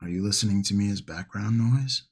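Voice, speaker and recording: A man with a fairly low, husky voice chats casually to livestream viewers while he works on a hobby. He takes his time, rambling and musing to himself, with plenty of gravelly fry in his speech. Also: fairly quick. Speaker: livestream host, miniature painting hobbyist.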